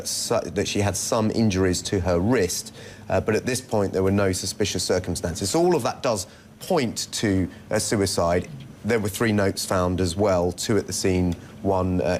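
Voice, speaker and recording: A man reporting live as a news correspondent, explaining unscripted in a hesitant, halting way. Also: sits low, a little mellow, a little hiss or hum. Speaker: senior international news correspondent. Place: television news studio.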